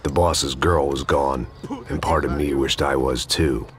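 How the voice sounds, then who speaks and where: An actor playing a weary, cynical middle-aged American bodyguard who narrates his downfall in a deadpan noir style over music. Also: a bass voice, a little slow, crowd noise. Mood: bitter resignation. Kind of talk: scripted first-person noir narration. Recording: loud music under the voice.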